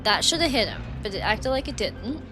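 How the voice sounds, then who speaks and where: A woman's voice: on the high side, lively intonation, brisk. Female game streamer, loose chatty delivery, slightly dried-out voice, game music underneath. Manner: chatty, rambling, frequent "like" and "um". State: voice getting dry and worn from long talking. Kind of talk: casual livestream chat while playing.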